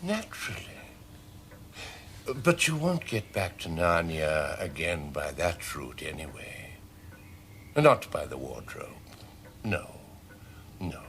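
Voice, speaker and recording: An actor playing a kindly, amused old British professor in a radio drama, musing theatrically in a gravelly voice. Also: male voice, sits low, very animated, slow, pauses often, a bit bright, a little hiss or hum, exaggerated voice. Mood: amused reassurance. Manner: musing, theatrical, swooping delivery.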